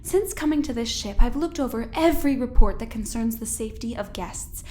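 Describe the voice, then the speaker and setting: An actress plays a woman scientist with a high, genteel voice. She is formal and mock-innocent, with a calculating sweetness made to needle. It is audio drama, with slight noise behind the voice. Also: animated, fairly quick, mellow, close to an everyday voice. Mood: cool, needling.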